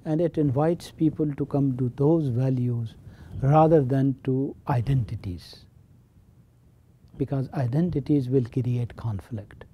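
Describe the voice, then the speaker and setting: A man's voice: mid-range voice, unhurried, mellow, breathy edge. A middle-aged man from Pakistan with a Pakistani accent, earnestly explaining ideas in an interview as a college teacher and chaplain. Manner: earnest, explanatory, repeats key phrases. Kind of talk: interview talk.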